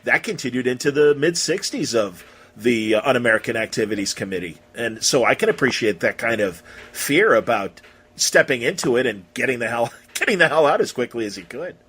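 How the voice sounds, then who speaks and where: Husky-voiced man, rambling casual interviewer. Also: medium pitch, expressive, fairly quick, a bit bright. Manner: rambling, effusive, informal. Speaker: podcast interviewer.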